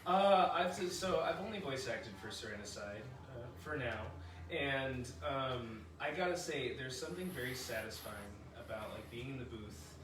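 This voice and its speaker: A man with a medium-pitched voice chats casually. The sound is very reverberant and a bit distant, with clear room noise around him. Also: lively intonation, moderate pace. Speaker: podcast voice actor. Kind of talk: casual chat. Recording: very echoey, distant mic, noisy room.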